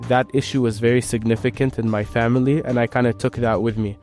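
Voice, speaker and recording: A young man, a university student, answering interview questions in a relaxed, thoughtful way. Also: mid-range voice, fast, faint music behind. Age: young adult.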